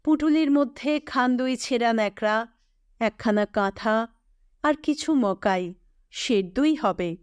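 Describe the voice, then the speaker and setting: Woman narrator, slow pace, smooth resonant voice, expressive literary reading, dry sound. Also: a little high, no room sound, lo-fi sound. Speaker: storyteller and channel host. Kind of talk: scripted literary story narration in Bengali.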